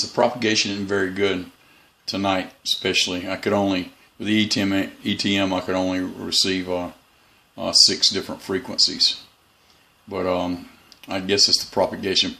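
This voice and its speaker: A man's voice: sits low, gravelly, pushed and bright. A middle-aged man giving a casual, unscripted product review in a rambling, folksy, opinionated way. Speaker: radio hobbyist reviewer. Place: room in a house.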